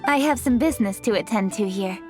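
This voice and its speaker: A woman's voice: mid-range voice, quick pace, a little mellow, soft background music, natural voice. A woman voicing a dutiful young noblewoman official in a game, poised, polite and businesslike. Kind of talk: game character voice acting.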